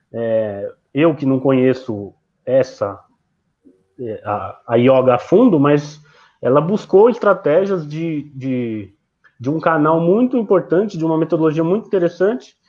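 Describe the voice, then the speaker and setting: A man with a slightly raised pitch talks reflectively, as a physical education teacher on a live online panel. He pauses and restarts as he works through his thoughts. The audio is dull and lo-fi, as on a video call. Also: dark tone. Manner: reflective, hesitant, many fillers and restarts. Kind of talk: casual online panel discussion.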